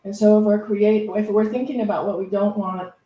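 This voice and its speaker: Woman reverend, reflective, anecdotal, halting unscripted delivery, echoey room, distant mic. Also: medium pitch, fairly even intonation, lo-fi sound. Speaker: reverend giving a spiritual talk. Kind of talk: conversational, unscripted inspirational talk.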